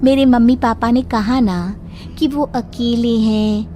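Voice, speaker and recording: A possessive young ghost daughter, voiced by a woman, coaxes slowly and eerily in a fairly high voice. The tone is soft and mellow, with music beneath it. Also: steady tone, slight background noise. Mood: coaxing menace. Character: eerie young female ghost, possessive daughter of elderly parents. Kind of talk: Hindi horror audio story acting.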